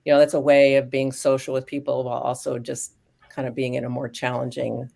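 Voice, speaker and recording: A woman speaking as a guest expert on aging dogs, explaining research in a thoughtful, casual way with frequent pauses and restarts. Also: extremely low pitch, level tone, creaky, a little mellow. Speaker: guest expert on canine cognitive decline.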